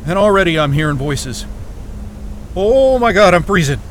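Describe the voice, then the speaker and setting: A man playing an irritable, sweary young astrophysicist in a naturalistic audio drama, talking in a grumbling, halting way. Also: sits high, very animated, slight background noise. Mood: pained, irritated. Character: groggy, irritable, freezing young male astrophysicist.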